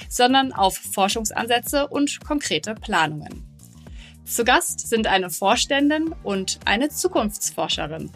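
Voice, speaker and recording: A woman's voice: on the high side, fairly bright tone. A woman hosting a podcast interview, reading friendly, scripted introductions and asking questions. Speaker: podcast host.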